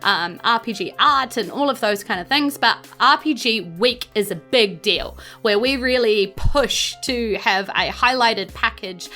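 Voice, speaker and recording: Kiwi woman hosting a podcast, super bright voice, gushy and chatty, bit of music behind her. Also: a little high, a little slow, few pauses. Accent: New Zealand. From New Zealand. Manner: effusive, gushing. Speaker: podcast host giving thanks and announcements. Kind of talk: casual live podcast chat.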